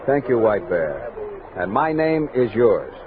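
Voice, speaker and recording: Courteous army explorer, formal, steady temper, lively intonation, vintage western radio sound, narrow muffled band, background noise. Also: male voice, mid-range voice. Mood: warm, earnest. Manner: earnest, measured, formal. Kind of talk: 1960s radio western acting.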